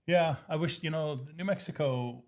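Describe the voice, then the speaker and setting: A man's voice: on the high side, moderate pace, darkish tone. An American man co-hosting a podcast in a casual, agreeable conversational style. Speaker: podcast co-host and financial advisor. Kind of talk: casual chat.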